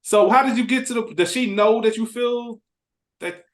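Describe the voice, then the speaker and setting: A man's voice: very high-pitched, normal speed. A man hosting a casual podcast conversation, asking probing questions in slangy, informal speech, recorded with room echo. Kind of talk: casual chat. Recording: echoey room sound.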